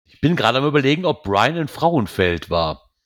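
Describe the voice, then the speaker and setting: Casting: man in casual podcast banter, from Germany, mid-pitched voice, chatty and self-correcting, lively when he jokes. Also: moderate pace. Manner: rambling, self-correcting, animated when joking. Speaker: podcast host. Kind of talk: casual chat.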